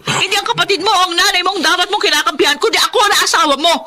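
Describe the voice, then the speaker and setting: A woman's voice: extremely high pitch, rapid-fire, pushed and bright. An actress playing an outspoken, emphatic young wife and mother in a Filipino radio drama. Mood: indignant, scolding. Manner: emphatic, assertive. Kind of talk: Filipino radio drama acting and first-person narration. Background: music behind the voice.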